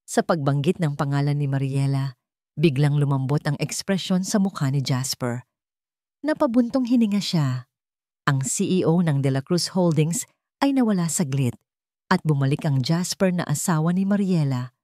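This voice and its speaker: A woman with a fairly low voice narrates a scripted romantic drama. She reads as a storyteller in dramatic style, recorded dry with no room sound. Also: expressive, normal speed, mellow, dry recording.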